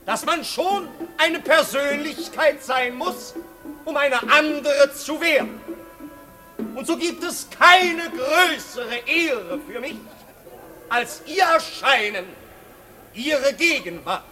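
An actor playing a flamboyant marquis who hosts a grand masked ball, speaking grandly and theatrically in 1950s German radio drama style. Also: male voice, very high voice, animated, slow, pushed and bright, heavily acted. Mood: grandiose, ceremonial. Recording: 1950s radio production, music and crowd behind.

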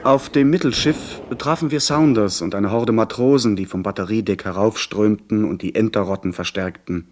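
Man as low-voiced young adventure narrator. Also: moderate pace, hardly any pauses, a little hiss or hum, lightly acted. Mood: urgent storytelling. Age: young adult. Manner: literary, dramatic storytelling. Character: young gentleman narrator of a pirate adventure, earnest. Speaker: narrator and character. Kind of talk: scripted radio play narration.

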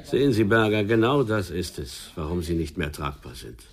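Radio crime play: condescending police chief, deep voice, very animated intonation, reproving. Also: male voice, normal speed. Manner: commanding, reproving. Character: exasperated, condescending male police superior.

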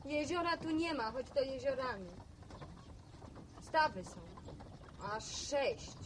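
A woman playing a lively young village woman in a radio drama, chatty and teasing with a wistful streak. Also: high-pitched, slow, slight background noise. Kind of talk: Polish radio serial acting.

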